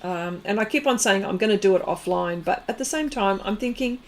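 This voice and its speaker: A woman's voice: medium pitch, expressive, a little fast. Lady rambling while she does art on a stream, chatty, laughs a lot. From Australia. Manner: chatty, rambling, laughs often, reads out viewer comments. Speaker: art livestream host. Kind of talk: casual chat while demonstrating pastel art.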